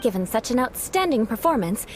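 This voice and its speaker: A woman's voice: fairly high pitch, big pitch swings. A woman playing a proud, aloof young noblewoman knight in a video game, speaking formally and haughtily. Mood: composed. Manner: haughty, formal, measured phrasing. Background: game music.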